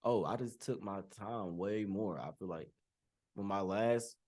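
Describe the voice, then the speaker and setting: A young man, a rapper being interviewed, answering in a relaxed, candid way and trailing off softly. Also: lowish voice, a little slow. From Jamaican heritage. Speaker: guest rapper.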